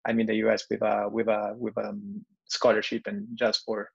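Halting young European man, gravelly voice. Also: lowish voice, monotone. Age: young adult. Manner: halting, repeats words. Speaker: interviewer, computer science student. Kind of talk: interview questions. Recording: dull lo-fi sound.

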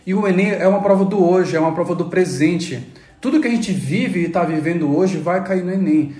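A man's voice: high voice, a little fast, a little mellow, echoey room. A man teacher lecturing students emphatically during an educational panel talk.

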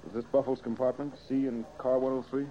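An American man playing an earnest, brisk young amateur detective in an old-time radio drama. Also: medium pitch, level tone, mellow, natural voice. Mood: brisk, inquisitive. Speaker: detective hero and show host. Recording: muffled, hissy old radio recording.

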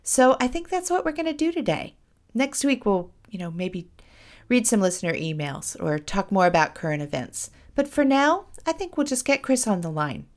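A middle-aged woman hosting a podcast, chatting animatedly and a little self-deprecatingly, her voice hoarse from a cold. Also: medium pitch, big pitch swings, moderate pace. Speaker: podcast host. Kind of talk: casual podcast chat.

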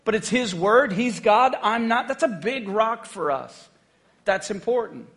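Male pastor, high-pitched, chatty joking sermon delivery. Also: lively intonation, unhurried, fairly bright tone. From United States. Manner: conversational, joking, asks the congregation "right?".

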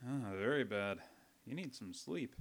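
An actor playing an easygoing, teasing office colleague in an old-time radio drama, with a casual, offhand manner. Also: male voice, medium pitch, animated, unhurried, slightly rough.

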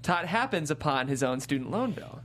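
A woman's voice: low voice, lively intonation, normal speed. Female stage-directions reader for a script read, varied intonation, slight background hum. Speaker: script narrator. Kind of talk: reading screenplay stage directions.

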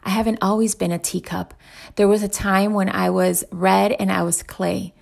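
A woman's voice: mid-range voice, flat delivery, normal speed, gravelly, darkish tone. A woman voicing a talking teacup in an inspirational parable, plaintively and emphatically recounting its painful making. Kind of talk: inspirational parable read aloud.

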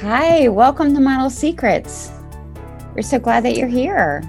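A middle-aged woman hosting a podcast interview, eager and chatty with quick interjections. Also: sits high, lively intonation, a little mellow, soft background music. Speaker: podcast host, modeling scout.

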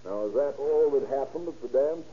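Actor as mellow, formal courtroom lawyer, muffled. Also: male voice, mid-range voice, expressive, natural voice. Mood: probing. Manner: deliberate, formal, probing. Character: formal, probing courtroom lawyer examining a witness. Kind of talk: 1930s radio crime drama acting. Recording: muffled, noisy old radio recording.

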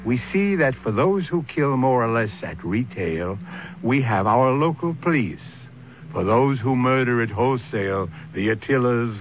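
A man narrating an old-time radio drama as host, in a portentous, theatrical, deliberate way. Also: medium pitch. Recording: dull old radio broadcast recording. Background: music.

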